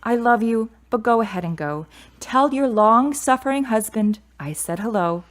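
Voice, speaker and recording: A woman's voice: fairly high pitch, animated, normal speed, lightly acted. A woman playing a grieving, independent and prickly adult daughter in a radio drama, speaking in a curt, guarded way. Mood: wry, affectionate.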